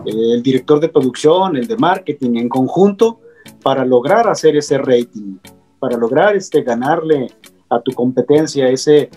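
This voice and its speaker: A man speaks as a panel guest in a dark, husky, mid-pitched voice. He explains in a halting way, repeating words as he searches for the next one. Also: expressive, faint music behind. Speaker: radio professional, panel guest.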